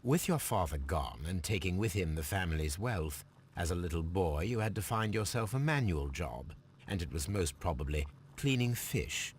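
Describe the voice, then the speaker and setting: An actor playing a brilliant, precise English gentleman detective in a video game, speaking formally and coolly. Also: low-pitched, expressive, a little hiss or hum. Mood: coolly analytical. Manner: formal, measured, coolly deductive delivery. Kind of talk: scripted video game dialogue.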